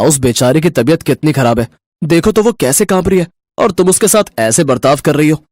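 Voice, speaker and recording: Man playing highish-voiced protective young husband. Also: dry recording, studio quality. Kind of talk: cartoon moral story dialogue.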